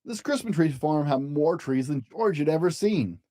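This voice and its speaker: A storyteller reading a children's picture book aloud in an expressive, gentle way, as if to young children. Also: mid-range voice, normal speed.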